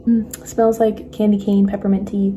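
A young woman chatting casually in her home kitchen at night, giggling and often dropping to a hushed voice, recorded on a phone camera on the counter. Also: mid-range voice, level tone, moderate pace, a little hiss or hum. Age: young adult. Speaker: vlogger. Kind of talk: casual cooking vlog chat.

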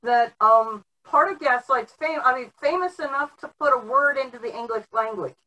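Bright-voiced woman, off-mic. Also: high-pitched, moderate pace. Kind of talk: casual video-call discussion. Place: home room, indoors, video call. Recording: distant video-call microphone.

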